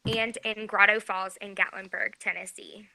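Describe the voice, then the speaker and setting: A woman in her twenties reading a class research presentation from a script, careful and even. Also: medium pitch, normal speed, vocal fry, low-quality recording. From Georgia, USA. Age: 20s. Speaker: graduate student presenter.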